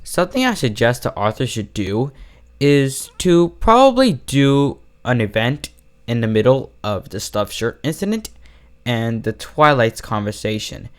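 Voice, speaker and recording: A man's voice: mid-range voice, big pitch swings, measured pace. A man speaking as the host of an online fiction review channel, giving a scripted critique straight to his viewers.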